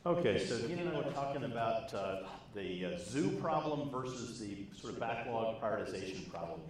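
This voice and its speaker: A man in his forties summarizing a discussion at a conference into a handheld mic, measured and posing questions as he goes. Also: medium pitch, lively intonation, slight background noise. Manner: measured, thinking aloud in questions. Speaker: conference session presenter. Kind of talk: short summary talk. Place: large conference room. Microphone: handheld microphone. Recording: very echoey room.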